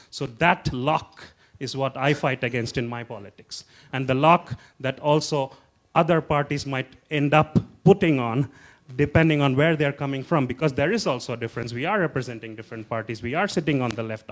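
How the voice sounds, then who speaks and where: Man, council candidate on panel, mid pitch, emphatic, rhetorical punch. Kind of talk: political panel speech.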